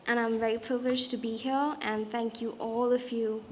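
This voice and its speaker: A young woman from India with an Indian accent, giving a prepared talk as a guest speaker in a measured, deliberate way. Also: fairly high pitch, level tone, a little hiss or hum. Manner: measured, deliberate delivery with occasional restarts. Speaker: guest speaker, designer and artist.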